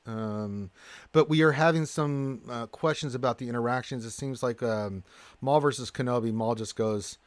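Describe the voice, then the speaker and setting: A man's voice: mid-range voice, moderate pace, no room sound. An American man from Houston chatting casually as a livestream host, reading out viewer comments and answering them in a jokey, exclaiming manner. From Houston, Texas, United States.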